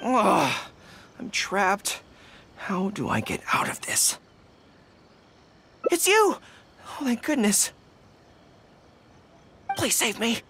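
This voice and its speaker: A woman's voice: mid-range voice, wildly swooping pitch, extremely slow, long pauses, a bit bright, a little hiss or hum, exaggerated voice. A woman voicing an earnest, easily flustered young male dog-eared general in a video game, with a boyish manner. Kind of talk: video game character dialogue. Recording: music under the voice. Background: game background music.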